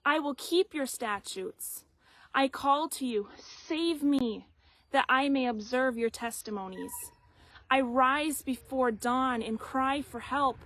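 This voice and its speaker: A woman reading scripture aloud in a measured, deliberate way. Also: high-pitched, a bit bright, dry recording. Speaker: Bible reader.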